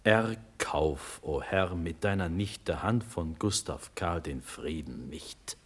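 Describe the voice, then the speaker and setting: A proud young condemned prince in a classical radio play. The voice is low and husky and declaims verse slowly and fervently, swinging widely between hushed and ringing. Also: male voice, lively intonation, full character voice. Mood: urgent pleading. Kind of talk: classical German radio drama acting in verse.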